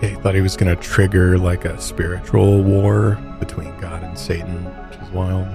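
A man's voice: sits low, level tone, moderate pace, music in the background. A man co-hosting a podcast with casual banter. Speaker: podcast co-host. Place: podcast studio.

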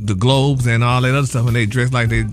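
A man's voice: mid-range voice, steady tone, fast talker, soft background music. A man co-hosting morning radio in casual, sassy, colloquial chat. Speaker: morning radio show co-host.

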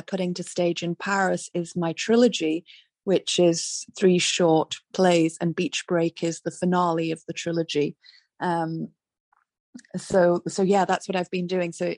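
A woman playwright with a hoarse, raspy voice, talking earnestly about her plays in an interview over a video call, with many fillers and restarts. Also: on the low side, little pitch movement, a little slow, a little mellow, no room sound. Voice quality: raspy, gravelly. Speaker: playwright guest.

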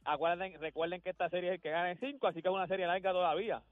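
A man's voice: high voice, fairly even intonation, racing, no room sound, phone-quality sound. A man with a Puerto Rican accent talking sports casually on the radio, slangy and repeating phrases for emphasis. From Puerto Rico.

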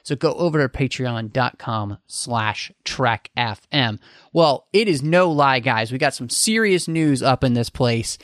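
A man's voice: medium pitch, a little slow, a bit bright, dry recording, clean studio sound. A man hosting a casual panel podcast, speaking in an enthusiastic, emphatic way. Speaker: podcast host.

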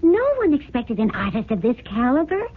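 High-voiced, earnest wife, old radio. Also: female voice, a little fast. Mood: excited. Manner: breathy excitement, earnest, theatrical. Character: excitable, trusting, affectionate wife devoted to art. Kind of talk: 1970s radio drama acting. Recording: phone-like vintage radio recording.

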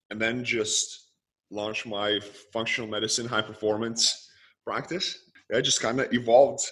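A man from Lithuania, a coach talking as a podcast guest, quickly listing ideas one after another. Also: lowish voice, fairly bright tone. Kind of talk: casual podcast interview.

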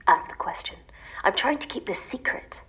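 A woman's voice: fairly quick, sharp tone, close to an everyday voice, low-quality recording. An actress playing a desperate, exasperated wife who is sick of her husband, in an audio drama. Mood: hushed, secretive. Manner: ranting in long bitter lists, sometimes dropping to a secretive whisper.

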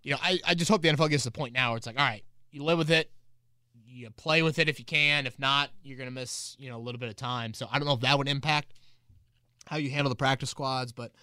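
A man's voice: mid-range voice, quick pace, bright, forceful tone, no echo, studio quality. A man hosting a casual sports podcast, talking in a chatty, rambling way with fillers and restarts. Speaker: podcast host and team reporter.

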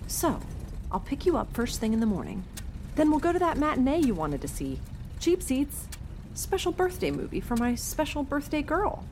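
A woman voicing an affectionate, gently teasing mother, coaxing and a little hesitant, in dramatised audiobook dialogue. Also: sits high, sing-song, normal speed, slight background noise, pitched above the performer's own voice. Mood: playful coaxing.

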